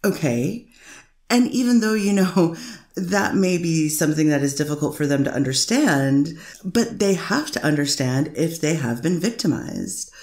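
A lower-voiced woman attorney questions a witness in an echoey courtroom. She builds her case with leading questions and tag endings that push for agreement. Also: measured pace, a little mellow. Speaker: defense attorney. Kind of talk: courtroom cross-examination.

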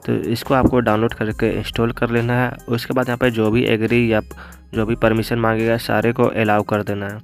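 A man's voice: on the low side, little pitch movement, moderate pace, vocal fry. A young man hosting a step-by-step app tutorial in an instructional, repetitive way. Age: young adult. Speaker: tech tutorial video host. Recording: music bed behind voice. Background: background music.

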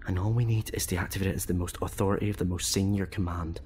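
A man's voice: low voice, fairly even intonation, quick pace, darkish tone, slight background noise, lightly acted. A man playing a bitter, grieving man set on revenge in an amateur audio drama, grave and defiant. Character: Lex, bitter vengeful man, grieving his family.

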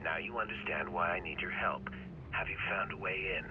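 Taunting game villain, low voice, mock-polite, muffled phone call. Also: male voice. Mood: smug taunting. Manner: taunting, deliberate. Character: smug, menacing older criminal man, mockingly polite. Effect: phone call filter. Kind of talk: video game voice acting. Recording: muffled phone line, loud road noise.

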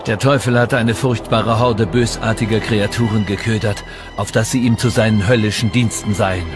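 An actor playing a stern, zealous Puritan preacher of 1692, reading gravely and declaiming in a historical documentary. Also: male voice, fairly low pitch, fairly even intonation, normal speed, lightly acted. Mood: grave warning. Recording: music underneath.

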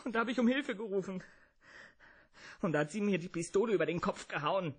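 High-pitched whining, agitated crook. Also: male voice, expressive, fast talker, breathy edge. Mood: panicked, accusing. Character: agitated, evasive foreign crook, whining victim. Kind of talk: German radio play acting.

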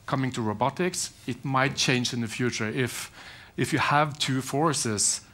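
Panelist, male, 40s, measured and halting, headset mic in a hall. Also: mid-range voice, pushed and bright. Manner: measured, hesitant, many restarts. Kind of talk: conference panel remarks. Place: conference hall panel stage.

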